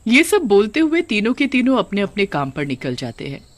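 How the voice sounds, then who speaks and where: A woman's voice: mid-range voice, sing-song, normal speed, dry recording. Lady narrating a cartoon story, reading from a script, very dry recording.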